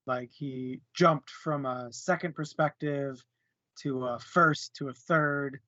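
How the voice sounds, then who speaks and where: A man giving his opinions on a book in a casual discussion, measured and reflective. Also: medium pitch, sharp tone, dry recording, dull audio.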